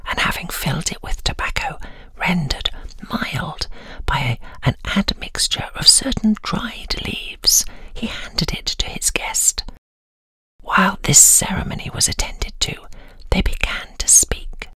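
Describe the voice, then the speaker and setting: A woman's voice: lowish voice, sing-song, takes it slowly, pushed and bright, breathy edge, dry recording. A British woman narrating a scripted ASMR bedtime story close to the microphone. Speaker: voiceover artist, storyteller. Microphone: close, dry microphone.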